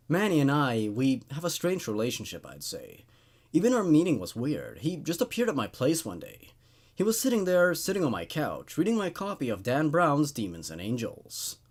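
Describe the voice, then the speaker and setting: Male horror-story host, mid-pitched, very varied intonation, casual conversational delivery. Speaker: host reading and reviewing horror stories. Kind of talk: laid-back story reading and commentary.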